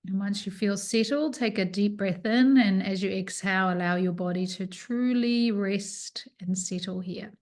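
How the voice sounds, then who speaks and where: A woman teaching yoga nidra, leading a guided relaxation with soothing, unhurried instructions and pauses. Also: mid-range voice, a little mellow.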